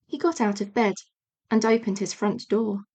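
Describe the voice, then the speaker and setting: A woman reading a children's storybook as an audiobook narrator, measured and expressive. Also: medium pitch. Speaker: audiobook reader.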